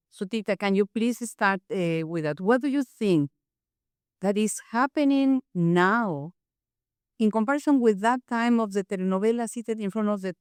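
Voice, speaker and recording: A woman's voice: medium pitch, smooth, dry recording, clean studio sound. A woman moderating a live panel discussion, chatty and enthusiastic, slipping between Spanish and English as she poses questions. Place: library event space.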